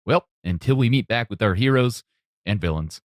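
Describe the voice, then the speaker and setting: A man's voice: mid-range voice, animated, normal speed, dry recording, clean studio sound. A man hosting a podcast, interviewing his guests in a conversational way. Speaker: podcast host.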